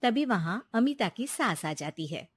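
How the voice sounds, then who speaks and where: A woman narrating a cartoon story in an even, measured way on a close studio mic. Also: medium pitch, breathy edge, no room sound.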